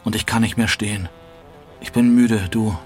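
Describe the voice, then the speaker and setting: Actor as a weary impassioned man, low voice, narrow intonation, emphatic literary declamation, music behind. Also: fairly even intonation, moderate pace, darkish tone, close to an everyday voice. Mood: exhausted, desperate. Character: exhausted, desperate man declaiming impassioned postwar prose. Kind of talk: dramatic literary performance.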